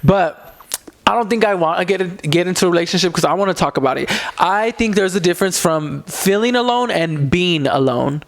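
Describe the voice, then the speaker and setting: A man in his mid-twenties chatting as a podcast host in a casual, gossipy way, animated and sassy with lots of slang. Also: sits high, a little fast, vocal fry.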